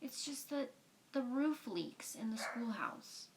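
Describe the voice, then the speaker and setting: A woman voicing a kindly, wistful schoolteacher in a storybook, polite and soft-hearted. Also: a little high, animated, slow pace, background noise, pitched above the performer's own voice. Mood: playful. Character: kindly, wistful female schoolteacher with a teasing streak. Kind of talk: storybook read-aloud.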